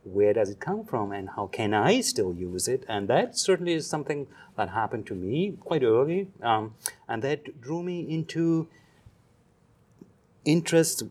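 A middle-aged man with a German accent talks through his ideas slowly, stopping often on "um" and "uh." His pitch sits at an ordinary middle level for a man, and he sounds like a reflective academic guest. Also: sing-song, a little mellow, breathy edge. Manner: reflective, pausing with many ums and uhs. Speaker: guest, psychology professor. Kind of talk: thoughtful interview answers.

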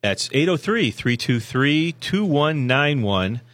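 A man co-hosting a college radio show, hoarse from a sinus infection, talking in a rambling, folksy way with lots of self-corrections and fillers. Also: medium pitch, very animated, slow, fairly bright tone. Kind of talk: casual radio promo talk. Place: radio studio.

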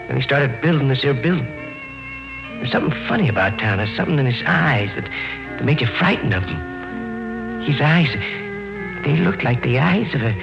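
An insistent, ominous old country caretaker in a vintage radio horror play. His voice is gravelly and his delivery folksy and halting, full of foreboding. The recording is dull and aged, with music behind him. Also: medium pitch. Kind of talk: old-time radio drama acting.

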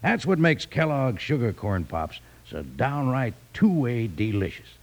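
A man playing a jolly, folksy cowboy pitchman in an old radio advertisement, gravelly and raspy, with exaggerated enthusiasm. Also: sing-song, a little slow. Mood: jolly, selling.

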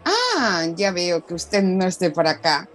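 A woman's voice: fairly low pitch, wildly swooping pitch, a little slow, a bit bright, exaggerated voice. A woman playing Manola, a chatty, sly bar waitress, in a radio soap. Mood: eager, welcoming. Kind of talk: Spanish-language radio soap acting.

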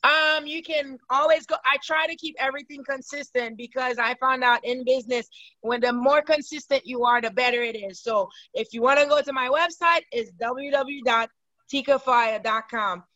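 A woman from Haiti chats as a guest on a podcast, lively and quick in her bursts of speech. Her voice is high and bright. The sound is dry and close. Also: no room sound, rough recording. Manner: lively, laughs often, speaks in quick bursts. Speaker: guest, business owner. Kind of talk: casual interview chat.

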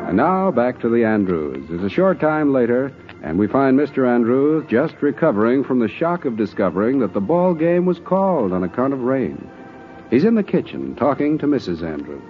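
A man's voice: mid-range voice, sing-song, moderate pace, soft background music. An American man working as a radio announcer, reading scripted continuity in a 1940s broadcast style. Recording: thin, narrow-band 1940s radio recording.

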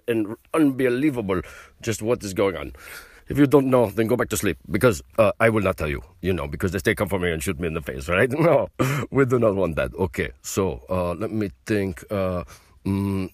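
A man's voice: deep voice, no echo. A man puts on a rambling mock-host who talks. He stumbles along with plenty of fillers, and the sound is dry and close. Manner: rambling, halting, many fillers. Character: mock Russian man hosting a comedy show. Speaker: comedy show host. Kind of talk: improvised comedy monologue.

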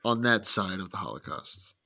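Low-voiced man giving laid-back replies. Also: expressive, moderate pace, vocal fry, bright, forceful tone. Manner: laid-back, mostly short agreeing replies. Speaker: podcast co-host. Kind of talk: casual podcast chat.

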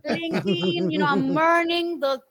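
A woman in her forties from Argentina, guest on an interview show, telling stories in an animated, thoughtful way with many fillers. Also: very high-pitched, normal speed, crowd noise. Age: 40s. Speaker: guest speaker. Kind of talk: interview answers, storytelling.

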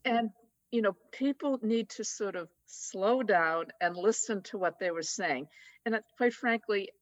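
A woman with a Boston accent, talking as a guest in a casual conversation, chatty and quick to laugh. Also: medium pitch, no echo, poor audio. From United States. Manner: chatty, laughs easily, many fillers.

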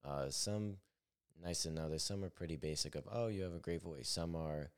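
A young man with a naturally deep voice hosting his own podcast, talking candidly in a rambling, self-correcting way. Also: moderate pace, clean tone. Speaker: podcast host. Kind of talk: solo reflective podcast monologue.

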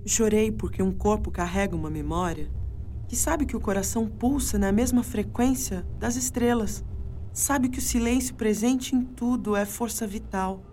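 A woman's voice: mid-range voice, normal speed, dark tone, slight background noise. A woman performing a reading of a poetic dramatic monologue.

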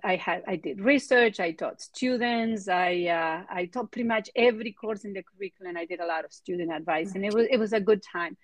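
A middle-aged woman with an Argentine accent and Spanish as her first language, answering interview questions conversationally in halting phrases with repeated words. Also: medium pitch, no room sound. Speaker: interview guest, biotech executive.

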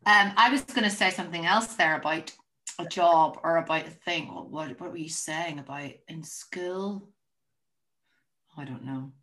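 A woman with a deep voice hosting a podcast, chatting casually and telling rambling stories that keep interrupting themselves. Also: normal speed, sharp tone, low-quality recording. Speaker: podcast host. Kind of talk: casual chat.

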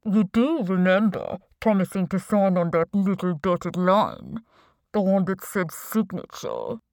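A woman voicing a faceless, thick-set male crime boss with a deep, distorted voice and slow, mock-courteous menace. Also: a little mellow, dry recording, natural voice. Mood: menacingly polite.

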